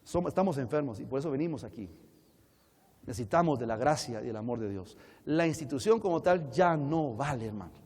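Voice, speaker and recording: A man's voice: medium pitch, expressive, fairly quick, a little mellow, husky. A man with a Colombian Spanish accent, teaching in a sermon style to an audience, conversational and full of rhetorical questions. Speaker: preacher and conference speaker. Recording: echoey hall with long reverb.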